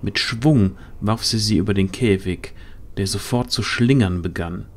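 A man's voice: on the low side, unhurried. A man narrating a fantasy audio drama as a scripted storyteller.

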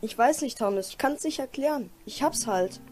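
A woman's voice: high voice, animated, moderate pace, a little mellow. A woman voicing a timid male steam engine in a children's cartoon fan dub, hesitant and defensive. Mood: nervous, defensive. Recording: music under the dialogue.

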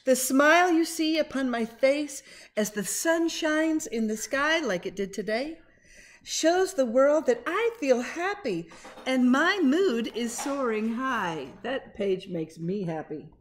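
A middle-aged woman reads a picture book aloud for young children. Her voice sits fairly high and she takes her time. She is expressive on the page and chats to her listeners between lines, like a teacher at story time. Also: slow. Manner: expressive, dramatic when reading, conversational asides to the children. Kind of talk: interactive children's read-aloud. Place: small living room at home, indoors. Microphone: webcam or laptop at arm's length.

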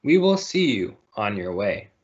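Animated man reading aloud, muffled sound. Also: lowish voice, unhurried. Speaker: storybook reader. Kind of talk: children's picture book read-aloud. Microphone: video call. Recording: muffled, lo-fi video call audio.

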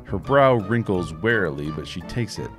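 Man in his forties, low voice, measured wry read of stage directions, home studio mic. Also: expressive, faint music behind. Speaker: host and narrator. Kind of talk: reading screenplay action lines. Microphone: studio microphone with headphones.

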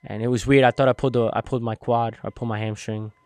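A man's voice: medium pitch, quick pace. A man in his twenties, a guest from New Jersey, steadily telling his business story in an interview, recorded on a podcast mic in a warehouse set. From New Jersey, United States. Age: 20s. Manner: earnest, steady storytelling with fillers. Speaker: guest, logistics entrepreneur. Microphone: boom-mounted podcast mic.

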